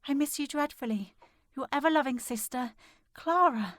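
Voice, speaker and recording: A woman playing a distressed, determined young gentlewoman in a period audio drama, speaking formally and earnestly. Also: high voice, moderate pace, no echo, studio quality. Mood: sad, hushed reading. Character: distressed, determined young gentlewoman searching for her missing sister.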